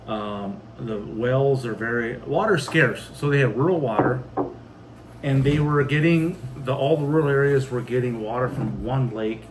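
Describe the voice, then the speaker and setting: Casual man with a medium-pitched voice. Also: poor audio. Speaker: co-host of a family homestead channel. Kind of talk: casual rambling storytelling and chat. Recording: faint background noise.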